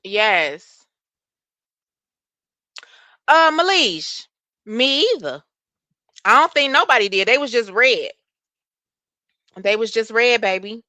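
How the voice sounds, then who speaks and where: A woman hosting a casual livestream chat, reading and answering viewer comments. She has a soft voice and speech, and she talks in an animated way, cursing freely. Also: a little high, slow pace, long pauses, dry recording. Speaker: livestream host.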